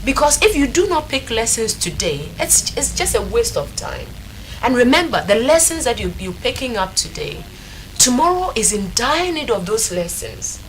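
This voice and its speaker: A woman with a Ghanaian accent speaks on a discussion panel, reflective and motivational. Her pitch is fairly high, and faint noise sits under the studio recording. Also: sing-song, normal speed, poor audio. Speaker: talk show panelist.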